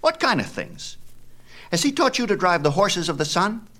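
A man's voice: high voice, big pitch swings, moderate pace, pushed and bright, pitched above the performer's own voice, full character voice. A man voicing a boastful, taunting young boy, cocky and scornful, in a mythology storybook. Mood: scornful, doubting.

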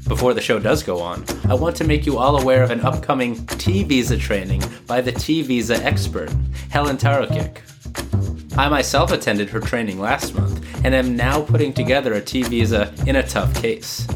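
Male podcast host, slightly nasal from a cold, medium pitch, brisk scripted delivery over a music bed. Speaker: podcast host and immigration lawyer. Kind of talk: scripted legal podcast narration with ad reads.

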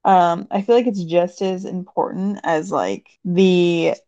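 A woman with a raspy voice, chatting casually as a podcast co-host. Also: on the low side, fairly even intonation, takes it slowly, dark tone, no echo, highs cut off. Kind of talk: casual chat.

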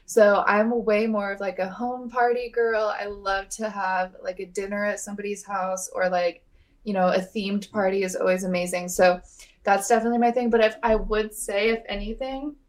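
A woman's voice: medium pitch, little pitch movement, moderate pace. A woman in her twenties co-hosting a podcast, chatting casually and playfully with dramatic exaggeration. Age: 20s. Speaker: podcast co-host. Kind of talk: casual chat.

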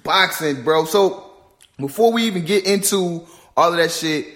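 A man's voice: high-pitched, moderate pace, a bit bright. Laid-back slangy man hosting, echoey room. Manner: laid-back, slangy, frequent "bro" and "right" backchannels. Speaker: podcast host. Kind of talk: casual podcast chat.

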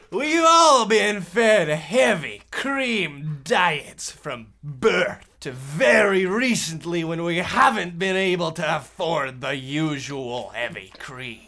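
Radio comedy role: man voicing resentful boy bully, high-pitched, very animated, pompous and exaggerated. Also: takes it slowly, exaggerated voice. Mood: pompous, taunting. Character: pompous, resentful twelve-year-old boy bully, gang leader. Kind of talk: parody of old-time radio drama.

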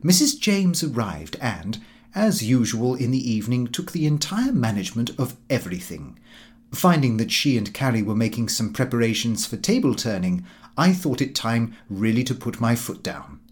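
Man narrating a comic diary, expressive pitch. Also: moderate pace. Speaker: audiobook narrator.

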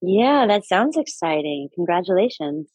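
A woman's voice: mid-range voice, big pitch swings, a little mellow, breathy edge, no echo, low-quality recording. A woman in her forties, a sex educator, talking enthusiastically in a casual interview and laughing easily. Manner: enthusiastic, upbeat, quick to laugh.

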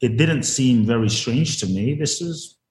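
A man's voice: medium pitch, lively intonation, measured pace, darkish tone, echoey room. A man from Ghana, a spiritual teacher telling his life story earnestly in an interview over a video call, repeating words for emphasis.

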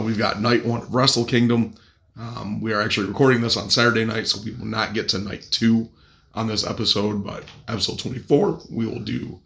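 A man hosting a podcast with crude jokes, his voice raspy from a cold. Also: mid-range voice, a bit bright. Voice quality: gravelly. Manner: crude, joking, upbeat. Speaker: podcast host. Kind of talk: casual podcast chat.

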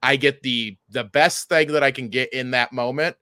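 A man's voice: mid-range voice, fairly even intonation, moderate pace, pushed and bright, no echo, clean studio sound. A man chatting casually and comedically as a podcast co-host, rambling and often interrupting himself, with some swearing. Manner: rambling, self-interrupting, profane.

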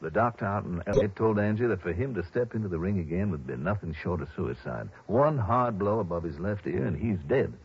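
A muffled old radio drama recording of a worried insurance man. His voice is very deep and rough with husk. He speaks in a grave, courteous, deliberate way. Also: expressive, heavily acted. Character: earnest, worried Southern insurance office man. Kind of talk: 1960s radio drama acting.

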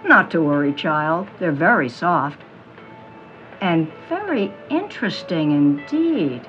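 A woman's voice: low-pitched, sing-song, slow pace, muffled recording, soft background music, heavily acted. A woman playing a mysterious fortune teller in an audio drama, theatrical and knowing, with honeyed pet names. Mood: soothing, knowing.

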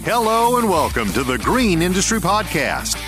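A man's voice: high voice, sing-song, normal speed. A man reading a scripted podcast intro in an upbeat announcer style. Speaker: show announcer. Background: guitar music.

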